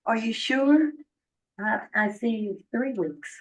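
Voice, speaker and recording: An actress playing a formal immigration officer who runs an interview in a measured, procedural way, heard from a video played at a distance. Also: female voice, fairly high pitch, breathy edge.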